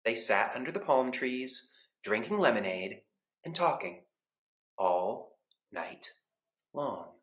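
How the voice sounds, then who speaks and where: Animated man reading a storybook, echoey room. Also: mid-range voice. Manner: expressive, deliberate phrasing with pauses. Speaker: school librarian reading aloud. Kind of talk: children's storybook narration.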